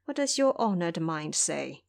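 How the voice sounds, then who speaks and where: A woman's voice: lowish voice, very animated, measured pace, vocal fry, exaggerated voice. A woman playing a fawning, plaintive old abbot. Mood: fawning, sly. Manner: obsequious, plaintive. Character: sly, fawning, covetous elderly male abbot.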